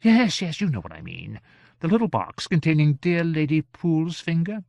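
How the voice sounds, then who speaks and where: A vain, capricious fairy gentleman from a fantasy storybook. His voice is high and swoops extravagantly. He drawls and gushes theatrically, with menace under the sweetness. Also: expressive, normal speed, gravelly, no room sound. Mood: fussy, excited.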